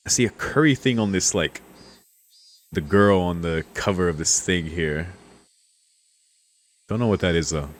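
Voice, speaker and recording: Low-voiced man doing amused game commentary. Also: measured pace, pauses often. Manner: amused, slangy. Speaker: streamer doing a let's play. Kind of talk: casual game commentary, reading lines aloud.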